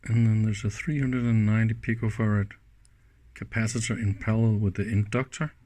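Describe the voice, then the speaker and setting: A man's voice: on the low side, little pitch movement, a little fast, darkish tone. A man giving casual, unscripted hands-on commentary as an electronics hobbyist, musing aloud in short exclamations. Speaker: electronics hobbyist presenting a teardown.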